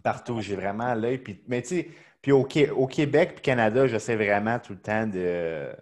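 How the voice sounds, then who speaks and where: A man's voice: lowish voice, moderate pace. A man hosting a casual podcast, eager and chatty with many fillers. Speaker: podcast host.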